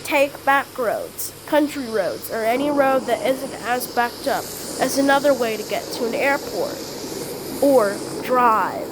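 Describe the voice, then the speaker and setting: A woman improvising an amateur mock emergency broadcast as the announcer, with halting, repetitive delivery. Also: a little high, animated. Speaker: emergency alert announcer in a hobby scenario. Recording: clearly noisy home recording.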